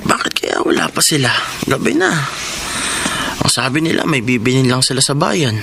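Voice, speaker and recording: A man's voice: mid-range voice, sing-song, normal speed, creaky, noisy background. An actor playing a self-conscious, loving teenage boy in a radio drama, earnest and plaintive. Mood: puzzled. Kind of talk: Filipino radio drama acting.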